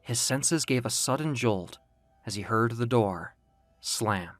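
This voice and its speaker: A man's voice: medium pitch, animated, slow pace, no echo, faint music behind. A man reading scripted, dramatic fiction as the narrator of an audio story.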